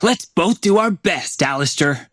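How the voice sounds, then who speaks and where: A man's voice: a little high, animated, a little slow, fairly bright tone. A man voicing a friendly, composed young trainer in anime-style game lines.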